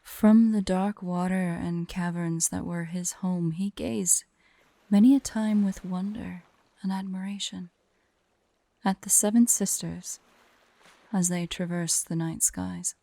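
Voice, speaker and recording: Casting: woman to play a female dragon, vain and playfully imperious, unhurried, low and gravelly with creaky fry, for intimate ASMR fantasy roleplay. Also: fairly even intonation, mellow, no echo. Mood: storytelling, grave. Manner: slow, imperious, playful, breathy near-whispers at times. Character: haughty, teasing female dragon, vain and secretly fond.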